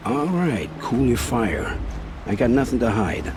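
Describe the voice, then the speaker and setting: An actor playing a guarded, weary scout who talks in a gruff, dismissive way. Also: male voice, medium pitch, big pitch swings, measured pace. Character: guarded, evasive male scout, weary and curt. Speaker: outlander scout. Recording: game audio with ambient noise.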